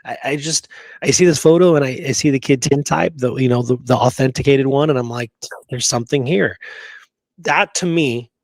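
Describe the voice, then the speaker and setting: A man's voice: medium pitch, moderate pace, dry recording. A man co-hosting a casual history discussion, talking emphatically and often checking in with "right?". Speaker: podcast co-host.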